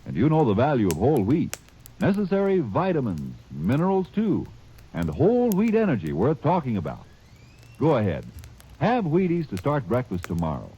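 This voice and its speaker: A man's voice: mellow, a little hiss or hum. A man announcing a 1950s radio commercial in a hearty, salesy way. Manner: hearty, salesy, rising pitch. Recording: dull old radio transcription.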